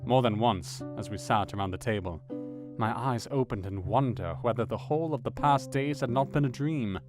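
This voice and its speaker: A man playing a thoughtful Victorian doctor who narrates his diary in a measured way over music. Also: mid-range voice, close to an everyday voice. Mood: reflective. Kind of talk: audio drama reading.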